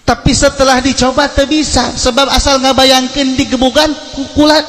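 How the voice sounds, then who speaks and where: A man with a Sundanese accent preaching as a storyteller, humorous and theatrical, acting out dialogue and swinging between hushed and loud delivery. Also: very high voice, flat delivery, normal speed. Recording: echoey hall with long reverb.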